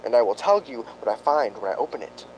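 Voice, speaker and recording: A man playing a methodical doctor in a spooky radio drama, reporting in measured, precise, formal phrases. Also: mid-range voice, animated, darkish tone, slight background noise, lightly acted, low-quality recording. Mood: measured, intent. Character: methodical, formal doctor investigating a haunted house.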